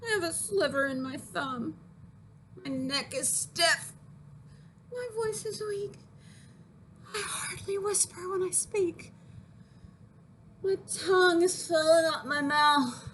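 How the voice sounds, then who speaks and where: A woman's voice: very high voice, takes it slowly, long pauses, a bit bright, slight background noise, voice pushed up, exaggerated voice. A woman voicing a whiny, melodramatic little girl faking illness in a children's poem reading, with plaintive complaining. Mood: feeble, complaining.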